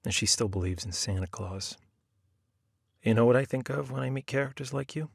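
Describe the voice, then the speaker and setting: A man's voice: mid-range voice, a little fast, vocal fry, slightly rough, no echo. A man voicing a tough, tired police detective, blunt and sarcastic, in a plain mid-range voice. It is recorded dry and close. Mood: weary. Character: gruff weary male police detective.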